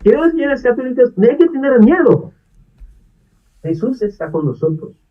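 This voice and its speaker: A man who is not a native Spanish speaker, talking as a priest in a video appeal. His voice sits high and moves a lot as he pleads earnestly and repeats his questions. It sounds dull and far from the mic. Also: big pitch swings, normal speed. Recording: distant dull lo-fi video audio.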